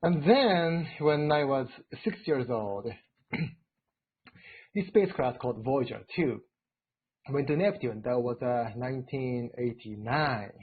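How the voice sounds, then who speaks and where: A man in his forties from Japan with a Japanese accent, telling his story as a guest speaker, full of "you know" fillers and rising excitedly in pitch. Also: slow pace, breathy edge. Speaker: guest speaker, robotics researcher. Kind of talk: storytelling in a live lecture chat. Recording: tinny phone-like line.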